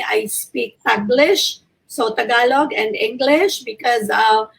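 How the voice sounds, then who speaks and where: Slow, high-voiced Filipino woman host. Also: a bit bright, poor audio. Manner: courteous, deliberate, inviting. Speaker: talk show host. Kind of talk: hosting an online interview, mixing Tagalog and English.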